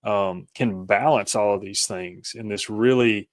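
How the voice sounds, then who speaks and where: A man speaking as an interview guest, reflective and halting as he searches for words and restarts his phrases. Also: on the low side, no echo, clean studio sound. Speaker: podcast guest, author.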